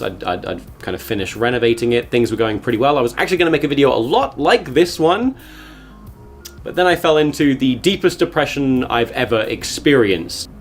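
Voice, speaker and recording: A man of average pitch narrates a personal, confessional vlog. He comes across as self-deprecating, and music plays underneath his voice. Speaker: video creator documenting his weight loss.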